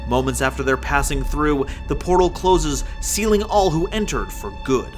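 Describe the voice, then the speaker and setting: A man's voice: fairly high pitch, expressive, moderate pace. A man narrating an audio drama from a script, in a theatrical storytelling manner. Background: prominent music.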